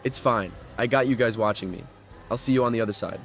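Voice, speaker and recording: A man's voice: mid-range voice, fast talker, fairly bright tone, close to an everyday voice. Cocky young man acting as a thrill-seeker, music underneath. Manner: cajoling, breezy, self-assured. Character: cocky, daring young man urban explorer. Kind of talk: horror audio drama acting and first-person narration.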